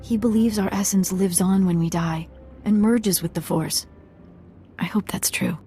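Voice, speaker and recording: An actress playing a dignified village matriarch in a video game, earnest, measured and formal. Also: female voice, mid-range voice, mellow, faint music behind, lightly acted. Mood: solemn grief. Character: solemn, dignified village matriarch, grieving yet resolute. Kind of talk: video game drama acting.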